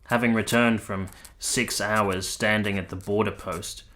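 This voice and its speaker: An earnest army captain in a literary radio play. His voice sits low with a bright, firm edge. He is formal, reserved and measured, like someone carefully recounting his memories. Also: steady tone. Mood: somber, reflective. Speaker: narrator and lead character. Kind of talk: literary period radio drama narration.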